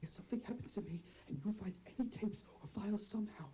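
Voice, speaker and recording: An actor playing a frightened man hiding in a closet, whispering a hurried secret message in an analog horror film. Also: high-pitched, mellow, close to an everyday voice, low-quality recording. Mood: hushed fear. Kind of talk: analog horror found-footage acting.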